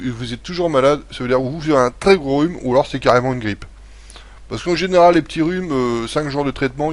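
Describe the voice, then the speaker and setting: A man's voice: medium pitch, dry recording. Rambling casual man giving tips. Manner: rambling, hesitant, many fillers and restarts; blunt, swears casually. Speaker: vlogger. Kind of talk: casual home-remedy vlog.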